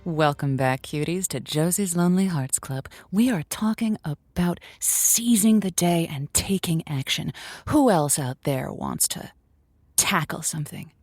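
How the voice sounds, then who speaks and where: A woman's voice: sits low, animated, measured pace, darkish tone, soft background music. A woman playing a tentative, sympathetic lonely hearts radio host in an audio drama. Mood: inviting.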